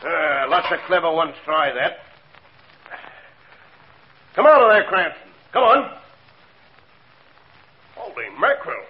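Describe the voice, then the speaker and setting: An actor playing a gruff, blustering, none-too-bright jail guard in 1940s radio style. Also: male voice, fairly high pitch, big pitch swings, takes it slowly, long pauses, full character voice. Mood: blustering, baffled. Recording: tinny, hissy 1940s radio recording.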